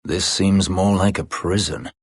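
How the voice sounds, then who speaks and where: A man voicing a brooding, bitter escaped elf slave in a fantasy video game, husky and guarded, with terse, formal, dry phrasing. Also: steady tone, a little slow, dry recording, exaggerated voice. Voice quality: husky, gravelly low voice.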